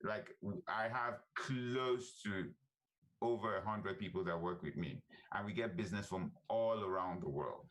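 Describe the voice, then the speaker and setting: A man speaking on a virtual panel. His voice is on the low side, and he drives his points home emphatically, often tagging them with "right?". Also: normal speed, fairly bright tone, poor audio. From Nigeria. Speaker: panelist, comic company head.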